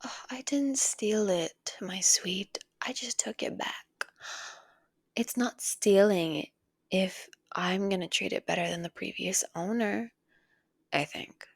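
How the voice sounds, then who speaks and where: A woman playing a possessive, doting female villain in an intimate ASMR roleplay, coaxing and tender, with a breathy voice that often drops to a whisper. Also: mid-range voice, takes it slowly, dry recording, exaggerated voice. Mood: smug, playful. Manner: coaxing, tender, lingering on pet names.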